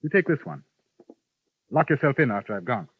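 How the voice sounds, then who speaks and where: Crisp gentleman gunman, drily menacing, lively pitch, old radio, phone-thin sound, light hiss. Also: a little fast. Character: cool, polite gentleman gunman, middle-aged man. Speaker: narrator and lead. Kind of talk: 1950s radio drama acting. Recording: tinny old radio broadcast, faint hiss.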